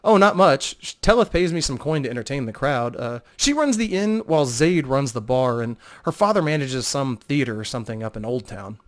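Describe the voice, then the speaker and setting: A man's voice: medium pitch, sing-song, fairly quick, few pauses, natural voice. A man voicing a charming, well-travelled bard, gallant and flirtatious, in a dramatic fantasy audiobook reading. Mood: easygoing.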